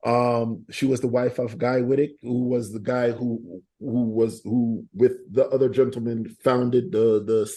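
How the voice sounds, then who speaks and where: A man's voice: medium pitch, little pitch movement, measured pace, few pauses, dark tone. A Canadian man talking as a podcast guest, rambling and often asking "you know what I'm saying". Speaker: guest artist. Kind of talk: casual podcast interview.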